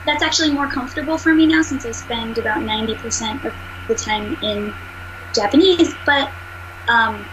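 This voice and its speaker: Nasal, high-voiced American voice actress, quick and bubbly, chatting on a call with faint hum. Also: female voice, muffled recording. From United States. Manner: fast, animated, laughs often. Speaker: voice actress and singer. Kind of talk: casual interview chat.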